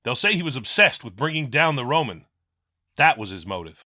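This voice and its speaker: A man's voice: mid-range voice, sing-song, brisk, bright, forceful tone, no room sound, studio quality, full character voice. A man voicing an earnest, troubled police captain with an urgent manner in a crime drama. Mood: grave, pressing. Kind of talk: animated crime drama acting.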